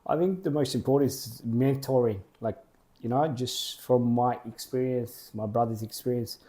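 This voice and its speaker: A young man, a football program co-founder, answering interview questions plainly in short, halting clauses. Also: medium pitch, warm tone. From Australia.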